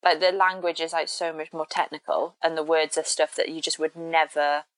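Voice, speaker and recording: A woman co-hosts a casual podcast chat with a very low voice. She asks friendly, encouraging questions. The recording is dry and close. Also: flat delivery, moderate pace, no room sound, low-quality recording. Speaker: podcast co-host.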